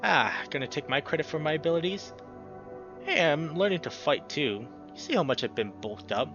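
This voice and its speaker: A man's voice: a little high, lively intonation, normal speed, voice pushed up. A man voicing a caring, wry male coyote, a ghostly boyfriend in a visual novel. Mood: wry, worried. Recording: dull lo-fi sound, music behind.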